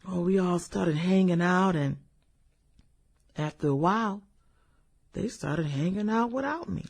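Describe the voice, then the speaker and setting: An actress playing a brooding, vengeful woman musing over old classmates in a radio drama, hesitant and husky. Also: lowish voice, a little slow, darkish tone, full character voice. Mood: bitter, wounded. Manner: musing, hesitant, chuckles and hums between thoughts. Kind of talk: radio drama acting, conversational monologue.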